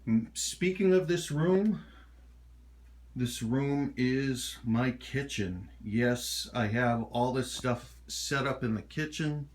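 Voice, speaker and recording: A middle-aged man speaks at a slow pace in a mid-range voice. He sounds like a hobbyist casually showing viewers around at home, halting often and rambling along without hurry. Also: mid-range voice. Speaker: hobbyist showing his radio collection. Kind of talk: casual home video tour. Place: home kitchen.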